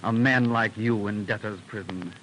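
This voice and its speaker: A man's voice: lowish voice, steady tone, normal speed, fairly bright tone, natural voice. An actor, a man, playing a loyal, impassioned statesman in dramatic 1930s radio style. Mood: indignant. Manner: earnest, dramatic. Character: loyal, impassioned statesman friend, indignant and concerned. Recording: noisy 1930s radio recording.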